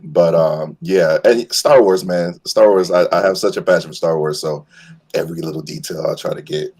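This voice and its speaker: A man's voice: deep voice, moderate pace, a little mellow, slightly rough. A man chatting casually as a guest on a livestream, easygoing and hesitant as he explains things with enthusiasm. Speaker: guest voice actor and cosplayer. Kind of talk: casual chat.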